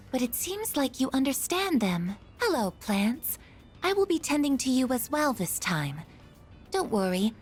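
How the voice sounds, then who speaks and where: A woman voicing a curious, earnest female android in a video game, speaking precisely and formally with gentle wonder. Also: on the high side. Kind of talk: English video game dub acting.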